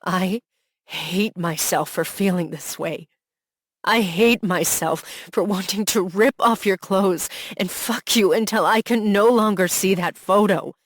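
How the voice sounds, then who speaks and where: A woman's voice: lowish voice, breathy edge, no room sound, clean studio sound. Woman voicing an anguished heartbroken young man. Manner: raw, pleading, rising to anguished outbursts. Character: heartbroken young man pouring out jealous love.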